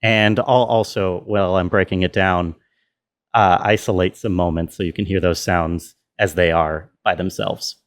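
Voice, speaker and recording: A man's voice: low-pitched, fairly even intonation, normal speed. A man giving a casual behind-the-scenes walkthrough as a sound designer, informal and easygoing. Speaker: sound designer of an audio drama. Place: indoor room with air conditioner running. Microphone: self-recording.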